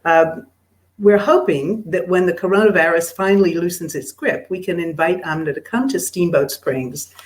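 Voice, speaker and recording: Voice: mid-range voice, normal speed. A seminar host moderating a Q&A with a guest, speaking in a courteous, formal way.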